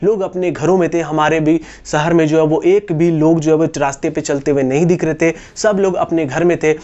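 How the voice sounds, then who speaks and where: Young indian guy talking to camera for youtube, kinda high voice, explains stuff to viewers. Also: fairly even intonation, a little fast, mellow, highs cut off. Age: 20s. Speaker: YouTube host. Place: indoors, small room against a wall. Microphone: lapel mic.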